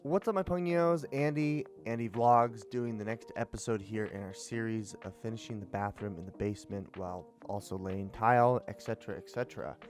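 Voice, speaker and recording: A man's voice: fairly low pitch, a little fast, hardly any pauses, music in the background. A young man, a home improvement vlogger, chatting casually through his tiling job with tips and frank admissions of his own mistakes. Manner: chatty, self-critical, explaining tips. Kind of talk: casual DIY voice-over. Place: small basement bathroom, indoors.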